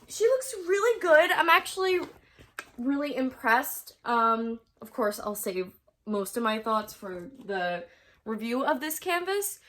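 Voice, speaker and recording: A woman's voice: fairly high pitch, fairly bright tone. A young woman from the US chatting casually to her viewers about her crafts, stuffed up from a cold and laughing often. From United States. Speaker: crafting vlogger. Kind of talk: casual chat to camera. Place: her bedroom at home.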